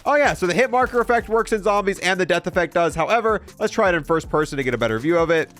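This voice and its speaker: A man's voice: high voice, animated, fast talker, fairly bright tone, faint music behind. A young man giving casual, matter-of-fact commentary as he explains and shows off video game content. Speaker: gaming video host.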